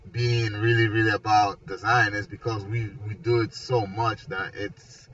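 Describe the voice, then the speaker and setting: A man's voice: lowish voice, little pitch movement, unhurried, fairly bright tone. A man in his twenties chatting casually, often filling his sentences with "like" and "you know". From Nigeria. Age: 20s. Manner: conversational, peppered with "like" and "you know". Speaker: architecture graduate discussing his background and creative work. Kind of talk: casual conversation. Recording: distant mic, muffled, noisy ambience.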